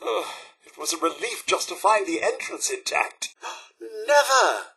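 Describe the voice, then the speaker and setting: A male actor playing a loyal, fussily polite robot butler in a video game, with a British accent and a formal, effusive, theatrical manner in a bright, raspy voice. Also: sits high, animated, measured pace, exaggerated voice. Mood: emotional, relieved. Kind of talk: video game companion dialogue.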